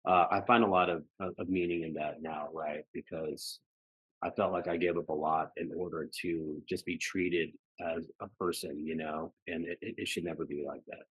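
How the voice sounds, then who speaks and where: A man's voice: low voice, steady tone, normal speed, breathy edge, no room sound, muffled recording. An American man speaking reflectively as a podcast guest, often checking in with "right" and "you know". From United States. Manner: frequently ends phrases seeking agreement with "right" and "you know". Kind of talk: reflective personal conversation.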